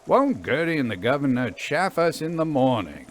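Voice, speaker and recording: A man voicing a breezy young gentleman angler in a storybook, grumbling and pompously mock-grandiose. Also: medium pitch, sing-song, normal speed, pitched above the performer's own voice, natural voice.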